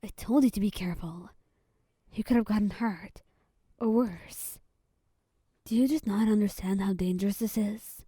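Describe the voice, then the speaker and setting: A woman voicing a protective young male lover who is fierce but guilt-stricken, in a soft, whispery voice. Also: mid-range voice, steady tone, measured pace, long pauses, slightly rough, dry recording, studio quality. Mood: angry.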